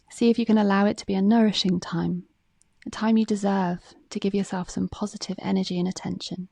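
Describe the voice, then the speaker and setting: Female meditation guide, mellow and relaxed with gravelly fry, calm scripted read, close dry sound. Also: medium pitch, no echo.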